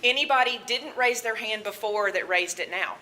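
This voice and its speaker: A woman with a Southern accent, a medical social worker giving a talk at a conference, loud and chatty with nervous laughs. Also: fairly high pitch, moderate pace, slight background noise. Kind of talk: conference presentation. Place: conference room with audience.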